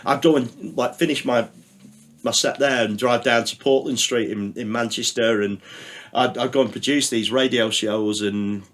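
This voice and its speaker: A middle-aged man, speaking as a guest in a relaxed interview. He tells long, winding stories and keeps interrupting himself with "like" and "um". He drops in the odd swear word along the way. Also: mid-range voice, moderate pace. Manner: rambling, anecdotal, swears, many fillers. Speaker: podcast guest, radio producer. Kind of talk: casual chat.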